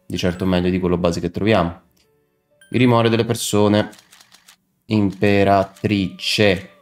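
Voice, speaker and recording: A young man with a fairly low voice streams a video game. He comments casually, half muttering to himself and half talking to his chat, with game music playing faintly behind him. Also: fairly even intonation, a little slow. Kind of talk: casual live game commentary, chatting with viewers.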